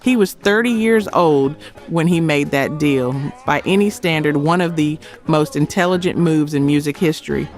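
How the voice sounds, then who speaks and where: A man delivering scripted documentary narration in emphatic short phrases with dramatic pauses. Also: fairly high pitch. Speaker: narrator of a music history video. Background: background music.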